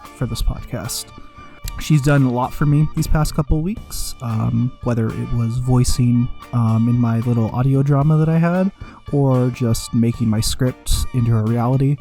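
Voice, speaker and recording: Mellow-voiced man hosting a casual podcast. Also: medium pitch. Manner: nervous, apologetic, informal. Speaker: podcast co-host. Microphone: computer's built-in microphone. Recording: computer mic, music behind intro.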